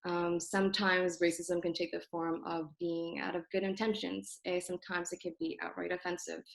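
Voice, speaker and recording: Woman, young adult, from Toronto, Cantonese first language, slightly low voice, earnest host, many fillers, video call audio from her apartment. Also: little pitch movement, moderate pace, vocal fry. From Toronto, Canada. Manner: thoughtful, with frequent ums and self-corrections. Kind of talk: casual, earnest introduction to an online group talk. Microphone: computer on a video call.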